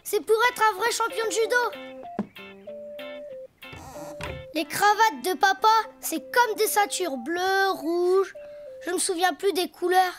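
Small cartoon donkey boy, very high-pitched, childlike, imaginative, proud of himself. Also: normal speed. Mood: curious. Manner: chirpy, childlike, boastful. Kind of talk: French children's cartoon acting. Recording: faint music behind.

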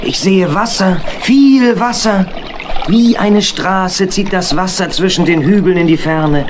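An actor playing a scrawny old blind beggar rat who tells fortunes in a theatrical, flattering way in a children's radio play. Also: male voice, high-pitched, unhurried. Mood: mysterious, prophetic. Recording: noisy vintage record.

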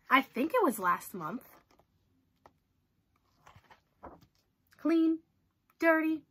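A stubborn cartoon pigeon played by a woman reading a storybook, high and bright, with wildly swooping pitch. It protests in a dramatic, whiny, exaggerated way. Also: husky, full character voice. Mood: defensive, stubborn. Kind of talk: children's storybook character read-aloud.

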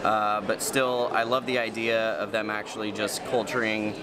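A man's voice: lowish voice, flat delivery, moderate pace. Chatty man reviewing beer in noisy cafe. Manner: chatty, enthusiastic, filler-heavy. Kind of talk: casual beer tasting review. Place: busy brewery cafe indoors. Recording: loud cafe crowd behind. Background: crowd chatter.

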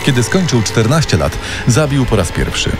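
A man's voice: medium pitch, sing-song, normal speed, over music. A man narrating a scripted true-crime story in a dramatic storytelling style.

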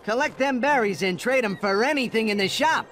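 An actor voicing a slick male animal salesman with smooth, pushy patter in a video game cutscene. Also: medium pitch, lively intonation, brisk, fairly bright tone, natural voice. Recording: music under the voice.

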